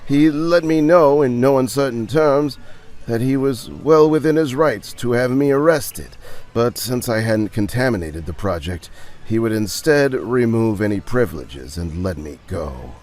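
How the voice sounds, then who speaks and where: A man voicing a dejected, self-blaming research scientist in an audio drama, speaking haltingly and emphatically. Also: medium pitch, darkish tone, close to an everyday voice. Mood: bitter.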